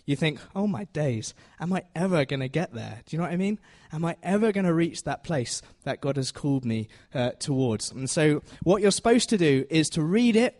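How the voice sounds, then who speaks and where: A man giving an informal, conversational teaching sermon, self-deprecating and often checking in with his listeners. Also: a little high. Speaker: preacher giving a Bible talk to a congregation.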